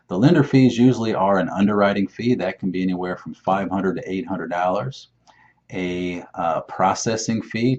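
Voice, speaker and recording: A man's voice: low-pitched, darkish tone. A man giving an informal explainer as a lender, plain-spoken and emphatic, often posing questions and answering them himself. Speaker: mortgage lender giving advice. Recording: dull, muffled lo-fi sound.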